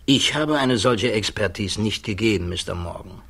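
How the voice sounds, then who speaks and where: An actor playing a principled, quietly defiant physicist under interrogation, speaking deliberately and precisely in the style of 1960s German radio drama. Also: male voice, on the low side, expressive, breathy edge, full character voice. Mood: measured. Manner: deliberate, precise, formally articulate.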